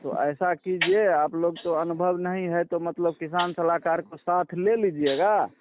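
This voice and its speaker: A man's voice: fairly high pitch, steady tone, unhurried, background noise. A man with a Bihari accent explaining himself on a phone call in a defensive, placating way, often repeating his phrases. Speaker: farm advisory field official. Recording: tinny, band-limited phone call recording.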